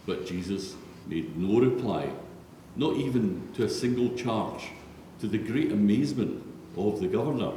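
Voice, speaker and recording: A man with a low, mellow voice reads scripture solemnly as a narrator. He is heard from far off in a big church, with long, heavy reverb around every word. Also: lively intonation, moderate pace. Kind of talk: solemn scripted Bible reading. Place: large reverberant church, read aloud. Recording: echoey church hall, distant, faint noise.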